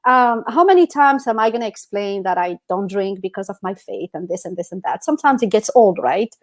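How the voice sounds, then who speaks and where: A woman's voice: medium pitch, lively intonation, fairly quick. A woman co-hosting a podcast, telling personal stories in a casual, emphatic, conversational way full of "you know". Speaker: podcast co-host.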